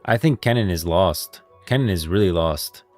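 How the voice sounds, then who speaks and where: Low-voiced man streaming casual game commentary. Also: lively intonation, normal speed, darkish tone. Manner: emphatic, repeats himself. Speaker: livestreamer. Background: faint music.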